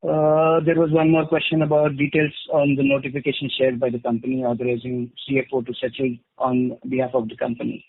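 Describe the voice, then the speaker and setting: A man with an Indian accent speaks over a narrow, tinny conference phone line. His voice sits at an average pitch, and he delivers formal, measured statements to investors as a company executive. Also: completely flat delivery.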